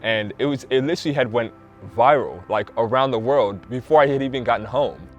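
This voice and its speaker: A man's voice: mid-range voice, fairly quick, highs cut off, faint music behind. A man speaking earnestly and passionately in a reflective documentary interview.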